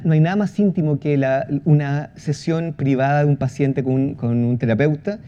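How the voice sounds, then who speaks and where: A man explaining a project in a talk, halting, with false starts and drawn-out pauses. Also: on the high side, warm tone. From Chile. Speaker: podcast creator giving a presentation. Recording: muffled audio with clear background noise.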